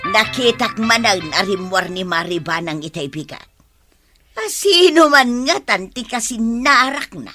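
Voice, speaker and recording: A woman playing a brisk, no-nonsense figure in a village comedy drama, with a Filipino accent. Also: fairly high pitch, sing-song, pushed and bright, soft background music, full character voice. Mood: gossipy.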